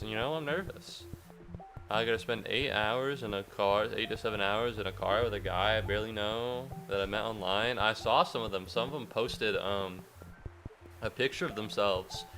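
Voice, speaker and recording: A man's voice: on the low side, gravelly, sharp tone. Rambling, sniffly young man streaming. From United States. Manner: rambling, self-mocking, talks to chat. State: a little sniffly after a cold. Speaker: game streamer. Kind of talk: casual livestream chat while gaming.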